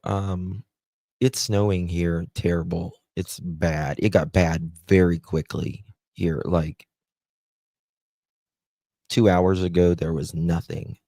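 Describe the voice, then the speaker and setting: A man with a Southern US accent hosting a live stream, chatting slowly with viewers and reading their comments aloud. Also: low voice, little pitch movement, a little mellow, dry recording. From United States. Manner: slow, chatty, drawling, talks to viewers by name. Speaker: livestream host.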